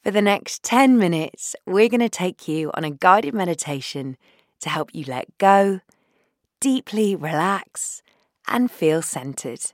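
A woman leading a guided meditation in a soothing, gentle, unhurried way, leaving long pauses between phrases and softening into breathy endings. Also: lowish voice, no echo, studio quality. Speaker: guided meditation leader, founder.

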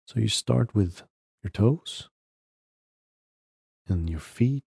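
Guy doing guided meditation, gentle instructions w long pauses, husky n creaky, real unhurried. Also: lowish voice, animated, dry recording, clean studio sound. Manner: gentle step-by-step instruction with long pauses between short phrases. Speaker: podcast host guiding a meditation.

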